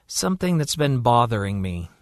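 A man voicing a caring, earnest woman doctor in a gentle, reassuring way for an audio story. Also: mid-range voice, very animated, moderate pace, no room sound, studio quality. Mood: determined.

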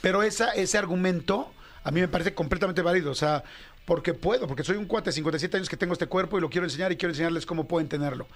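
A man's voice: high voice, rapid-fire. A man hosting a casual interview, asking probing questions in a teasing, slangy way. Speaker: host.

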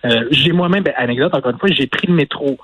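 A man speaking Quebec French as an interview guest and former political adviser, talking in a measured, hedging way with many restarts. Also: mid-range voice, fairly bright tone, slightly rough, dry recording. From Portugal. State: recovering from illness. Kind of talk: phone-in news interview.

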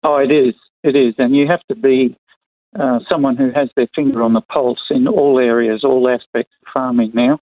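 A man's voice: medium pitch, fairly even intonation, moderate pace, no room sound. An older Australian man speaks as an interview guest over a narrow, phone-like line. His voice sits in the middle range and the sound is dry. He is plain-spoken and conversational, breaking his answers into short phrases. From far north Queensland, Australia. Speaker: interview guest, retired sugarcane farmer.

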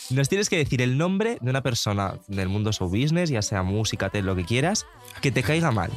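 A man's voice: mid-range voice, very animated, fairly quick. Playful Spanish male co-host. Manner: playful, teasing. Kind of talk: casual talk show hosting.

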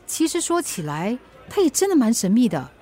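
Flustered single mum, Singaporean accent, very high animated voice, defensive radio-drama confidante. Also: female voice, a little fast, husky, soft background music, exaggerated voice. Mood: uneasy. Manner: expressive, colloquial, protesting. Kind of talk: naturalistic radio drama dialogue.

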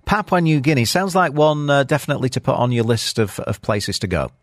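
A man's voice: medium pitch, lively intonation, brisk, no room sound, clean studio sound. A man hosting a radio chat, who wraps up segments in a hesitant way with short acknowledgements. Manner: brief acknowledgements, hesitant wrap-ups. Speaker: radio host.

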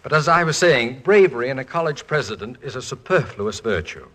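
Erudite college president, medium-pitched, dry wit, mock-pompous, fretful, 1940s radio comedy. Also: male voice, animated, a little fast, a bit bright. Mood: wry, exasperated.

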